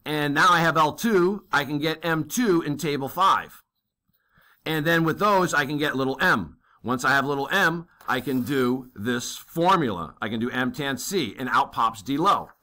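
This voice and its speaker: A man works through a navigation calculation as a lesson, talking over his own writing. His voice is bright and a bit tense. He thinks aloud, with many fillers and quick "okay?" checks. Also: on the high side. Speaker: navigation instructor. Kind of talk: worked-problem math lesson.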